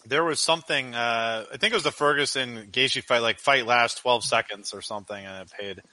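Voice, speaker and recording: Bright-voiced man on a podcast. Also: medium pitch, a little fast, no echo. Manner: laughs often, restarts sentences. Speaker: podcast co-host. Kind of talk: casual chat about fights and betting.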